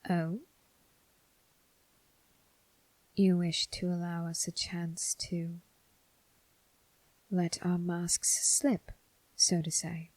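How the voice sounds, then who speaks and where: A woman voicing a coolly curious, formal demon servant, softly teasing and half-whispering in an ASMR roleplay. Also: fairly low pitch, little pitch movement, dry recording, poor audio. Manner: soft, measured, breathy, half-whispered.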